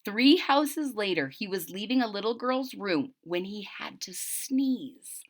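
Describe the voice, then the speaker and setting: A woman reading a picture book aloud to children in a dramatic, animated way, stopping to explain things. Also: mid-range voice, a little slow. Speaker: children's story reader. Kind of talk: expressive read-aloud with explanatory asides to children.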